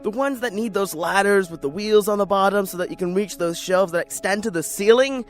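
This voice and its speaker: A man's voice: sits high, brisk, soft background music, close to an everyday voice. A man playing a lonely, neurotic, paranoid writer in an introspective, theatrical radio drama monologue. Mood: wistful.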